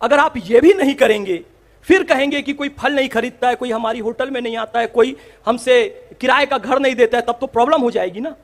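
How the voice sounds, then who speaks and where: A man's voice: extremely high pitch, fairly quick. A man delivers an impassioned public address. He speaks emphatically, repeating key phrases and putting rhetorical questions to his listeners. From India.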